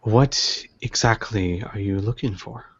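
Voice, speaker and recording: A man voicing a polite, deliberate old wolfen tea-house keeper who deals in shady goods in a tabletop game. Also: on the low side, a bit bright, slightly rough, no room sound, dull audio, voice pushed down, full character voice. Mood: measured, shrewd. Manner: deliberate, courteous, musing with drawn-out pauses.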